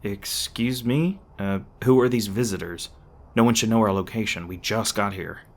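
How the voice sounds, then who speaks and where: A man acts out an edgy monster hunter in an audio roleplay. His voice sits fairly low, and his talk is sarcastic and brash. Underneath he is protective and soft-hearted, stumbling over words when flustered. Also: moderate pace, close to an everyday voice. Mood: irritated. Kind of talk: ASMR roleplay voice acting.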